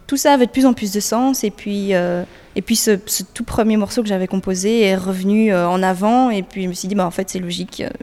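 A young woman singer-songwriter answers an interviewer's questions, pausing often and restarting her sentences. Her voice sits at a middle pitch and carries a long reverb from the room around her. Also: darkish tone. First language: French. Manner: hesitant, many fillers and restarts.

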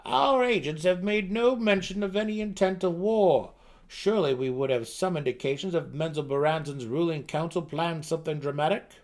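A man's voice: on the high side, normal speed, a little mellow, pitched above the performer's own voice, close to an everyday voice. A man voicing an anxious, deferential gnome counselor in a fantasy audiobook, with an urgent, questioning manner. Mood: worried, questioning.

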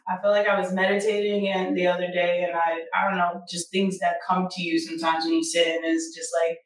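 A woman's voice: on the low side, flat delivery, a little fast, a little hiss or hum. A woman co-hosting a podcast, chatting casually and rambling with lots of "like". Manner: rambling, heavy use of "like". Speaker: podcast co-host. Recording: echoey room, mic at a distance.

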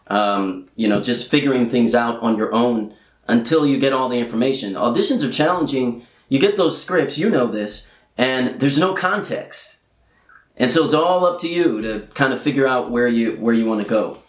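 A man's voice: medium pitch, moderate pace. Easygoing man host in an echoey room. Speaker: interview host, actor. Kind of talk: conversational interview. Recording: roomy, echoey sound.

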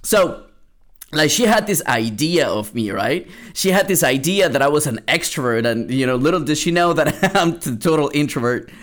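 Man from Peru, young adult, solo podcast host, rambling storyteller, fairly high voice. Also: lively intonation, normal speed, a bit bright. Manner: rambling, many restarts and fillers.

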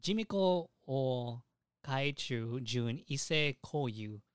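A young man co-hosting a podcast, chatting casually and crudely about anime and games in an excitable, giggly, sweary way. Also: medium pitch, takes it slowly, smooth, no room sound, clean studio sound. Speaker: podcast co-host.

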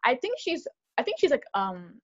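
A young woman co-hosting a podcast, talking fast and animated in casual chat, with lots of "like". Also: high voice, dull audio. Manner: fast, animated, heavy use of "like". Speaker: podcast co-host.

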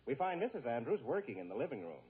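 A man's voice: mid-range voice, wildly swooping pitch, fast. A man announcing and narrating a scripted show in 1940s radio style. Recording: very noisy, tinny 1940s radio broadcast.

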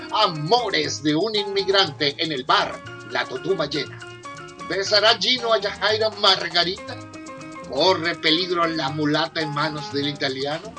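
A man narrating a humorous radio soap opera in a melodramatic, suspenseful scripted style. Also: on the high side.

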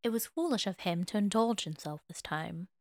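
A woman reading scripted fiction as narrator, in a measured, expressive manner. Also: mid-range voice, no room sound. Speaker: narrator, fan fiction reader.

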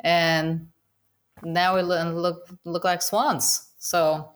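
Danish woman guest, lowish voice, halting casual English with a Danish accent, laughs easily. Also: level tone. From Denmark. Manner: halting, many restarts and fillers, laughs often. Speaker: guest goose hunter. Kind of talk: casual interview chat.

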